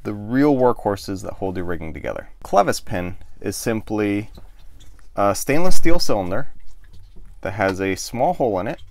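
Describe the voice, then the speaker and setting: Gravelly-voiced man explaining boat rigging. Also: fairly low pitch, moderate pace. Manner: calm, methodical, demonstrating with his hands. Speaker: sailing show host. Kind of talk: step-by-step how-to explanation. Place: small wood-paneled boat cabin, indoors. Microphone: camera close in front of the face.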